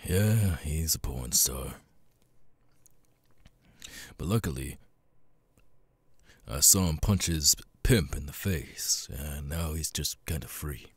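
A man voicing a gruff, world-weary cat demon bartender in an ASMR audio roleplay, raspy and laconic, with casual swearing and long pauses. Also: no echo, full character voice. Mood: weary, matter-of-fact. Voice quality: raspy, very deep gravelly voice. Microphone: close, dry studio mic.

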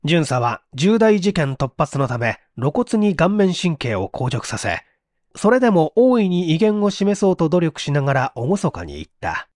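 A man's voice: fairly high pitch, sing-song, moderate pace, a little mellow, no echo, clean studio sound. A man narrating a mystery story from a script in a theatrical, suspenseful manner. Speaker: audiobook narrator, stage actor.

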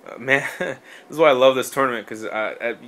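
A man's voice: medium pitch, fast, a loud crowd. A man co-hosting a podcast, talking in a rambling, casual way with many fillers, restarts and swearing. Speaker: podcast co-host. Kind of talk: casual sports chat.

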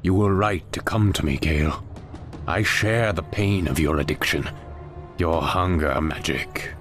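An actor playing a commanding exiled demon lord in a fantasy game, brooding and portentous. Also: male voice, sits low, measured pace, a bit bright, faint music behind. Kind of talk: dramatic fantasy game cutscene acting.

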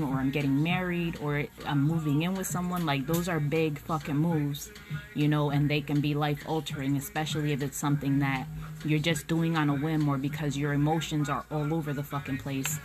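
A young woman with a low, deep speaking voice gives a blunt, unfiltered tarot reading, talking straight to her phone camera in a small room at home. She swears freely and drifts through "um" and "like" as she goes. Music plays clearly underneath her. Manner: frank, blunt and swearing, with frequent "um" and "like". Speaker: tarot reader. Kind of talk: casual spoken tarot reading. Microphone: phone camera at close range.

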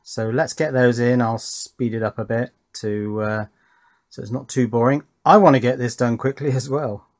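A man's voice: mid-range voice, fairly even intonation, normal speed, a little hiss or hum. Chatty man at his workbench. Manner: chatty, explains as he works, wry asides. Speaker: electronics hobbyist presenter. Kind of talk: casual workbench commentary. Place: home workbench, indoors.

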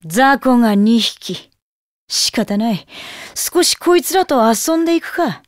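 A ruthless demon boy from an anime game. The voice is fairly high and dryly recorded. He talks with icy contempt and haughty menace. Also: expressive, unhurried, no echo, clean studio sound. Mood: disdainful.

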